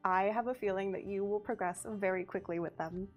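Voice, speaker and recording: A woman's voice: medium pitch, fairly even intonation, fairly quick, darkish tone, dull audio, faint music behind. A woman, a community manager, presenting game update details on a livestream in an upbeat, giggly manner. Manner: upbeat, giggly, reads skill details steadily.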